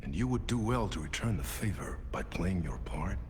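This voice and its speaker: A man playing a composed, menacing figure in a video game, speaking deliberately and formally. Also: deep voice, big pitch swings, darkish tone, slight background noise. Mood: quiet threat. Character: deep, composed, menacing man making veiled threats. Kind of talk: cinematic video game acting.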